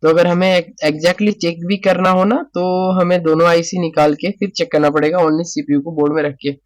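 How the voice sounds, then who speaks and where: A man's voice: fairly high pitch, steady tone, normal speed, dry recording, studio quality. A man talking through a repair tutorial as a technician, casually explaining, repeating phrases, checking in often and mixing in English technical terms.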